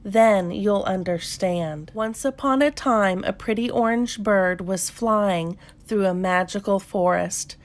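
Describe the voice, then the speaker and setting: A woman narrates a children's storybook in an expressive, scripted read-aloud style. She takes her time with a slow pace and keeps a medium pitch.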